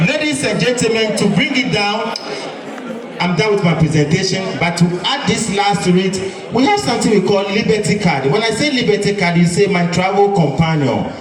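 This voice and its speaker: A man with a Ghanaian accent addressing students at a school assembly in a lively, preachy call-and-response style. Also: high-pitched, background noise. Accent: Ghanaian English. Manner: lively, preachy, draws the crowd into answers. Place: large hall full of students. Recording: echoey hall from far off. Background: crowd of students.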